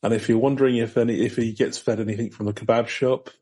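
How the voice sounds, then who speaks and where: Male podcast host, soft-edged husky tone, relaxed and dry, chatting loosely. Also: mid-range voice, fast talker. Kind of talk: casual chat, reading out an article.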